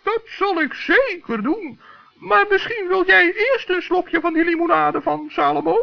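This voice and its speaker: Tiny, very high-voiced forest gnome. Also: lively intonation, a little slow, vocal fry, heavily acted. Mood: crafty, amused. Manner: exclamatory, theatrical, childlike. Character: little old forest gnome, kindly, crafty, play-acting. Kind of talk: 1960s children's radio play acting. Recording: dull lo-fi old radio recording.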